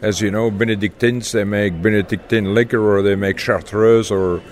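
An older man from Belgium with a Belgian accent, speaking haltingly with many fillers as an interview guest. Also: on the low side, steady tone. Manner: halting, many uhs, chuckles. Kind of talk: casual interview chat.

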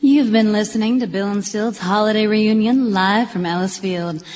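An actress playing a smooth-jazz radio station announcer in a radio play. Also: female voice, medium pitch, normal speed, a little mellow, lightly acted. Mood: cosy. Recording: reverberant hall with music behind.

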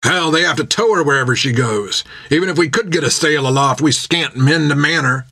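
A man voicing a gruff, foul-mouthed pirate captain who barks orders bluntly in an audiobook adventure. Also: a little high, brisk, sharp tone, voice pushed up, heavily acted. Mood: scornful.

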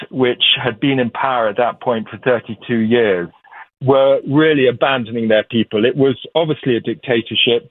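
A man's voice: medium pitch, dry recording. A man, a documentary director who grew up in Uganda, telling his story in loose, chatty interview answers. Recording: tinny phone line.

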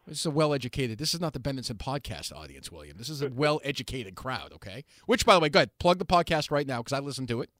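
A man in his forties hosting an interview, teasing his guest with rambling, animated questions. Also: medium pitch, quick pace, hardly any pauses, dry recording, clean studio sound. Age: 40s. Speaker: host. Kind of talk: casual interview chat.

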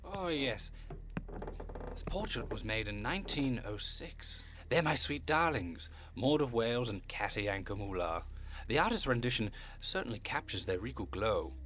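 Fussy old goat devil for an audio drama, super genteel, pitch goes all over, bit of hiss behind. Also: male voice, very animated, moderate pace, a bit bright. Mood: fond, genteel. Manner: prim, courtly, long-winded, old-fashioned wording.

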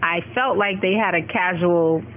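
A woman recalling a memory in a relaxed, amused way. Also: fairly low pitch, moderate pace, slight background noise. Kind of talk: recorded recollection. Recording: tinny phone line.

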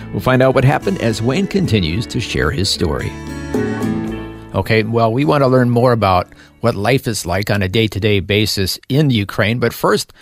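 A man's voice: medium pitch, gravelly. A man hosting a faith radio interview, reading scripted introductions. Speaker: radio show host.

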